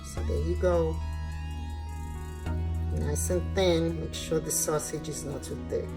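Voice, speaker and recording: A woman's voice: sits low, fairly even intonation, takes it slowly. A woman hosting a casual cooking demonstration, encouraging and instructional, often repeating herself. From Nigeria. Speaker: cooking channel host. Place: indoor kitchen, at a counter. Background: music.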